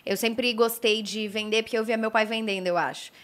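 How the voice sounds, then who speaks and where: Woman, young adult, Brazilian accent with Brasília r, slightly high pitch, rapid pace, informal conversational delivery. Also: fairly even intonation, fairly bright tone. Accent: Brazilian, with strong Brasília r. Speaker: guest, fashion brand founder. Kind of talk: casual conversational storytelling.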